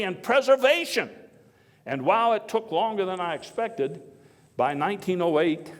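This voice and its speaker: An actor playing an earnest, folksy statesman orator of early 1900s America in a theatrical first-person speech, emphatic but given to halting digressions. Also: mid-range voice, natural voice. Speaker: living-history performer. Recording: echoey hall with long reverb.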